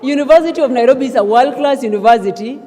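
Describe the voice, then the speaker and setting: A woman's voice: high voice, very fast, very noisy. A young woman from South Sudan giving an earnest, emphatic acceptance speech as a newly elected student association leader, rising to calls of thanks. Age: young adult. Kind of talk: acceptance speech at a swearing-in ceremony. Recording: long reverb of a large hall.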